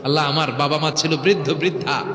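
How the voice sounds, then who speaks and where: A man's voice: a little high, steady tone, brisk, a crowd in the background. A man preaching a dramatic storytelling sermon, acting out dialogue, repeating phrases and calling on listeners to respond. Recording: echoey hall with long reverb.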